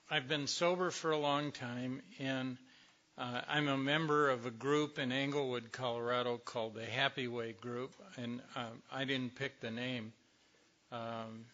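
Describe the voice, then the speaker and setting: An older man, a little hoarse, giving a slow, reflective talk to a recovery meeting with many pauses. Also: mid-range voice, pushed and bright, muffled recording. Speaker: speaker at a recovery workshop.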